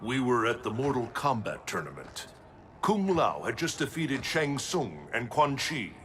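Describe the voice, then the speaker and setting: Solemn guardian deity, mid pitch, lively intonation, earnest, portentous, video game drama, faint background noise. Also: male voice, a little slow. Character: solemn, wise guardian god, calm and grave. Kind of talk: cinematic video game acting.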